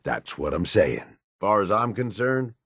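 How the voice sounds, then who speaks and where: A man playing a hard-bitten, cynical American raider lieutenant in a video game, with a gruff, blunt, slangy way of talking. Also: lowish voice, sing-song, unhurried, dry recording, clean studio sound, exaggerated voice. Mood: cynical, confiding. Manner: gruff, plain-spoken, drawling slangy delivery. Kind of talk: video game companion dialogue.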